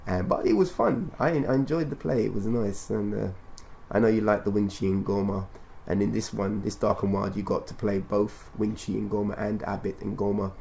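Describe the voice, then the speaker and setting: A man hosting a podcast interview in a measured, instructive way, rephrasing his points and prompting his guest as he talks. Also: lowish voice, steady tone, gravelly, darkish tone, a little hiss or hum. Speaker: radio show and podcast host. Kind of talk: conversational interview, explaining and prompting.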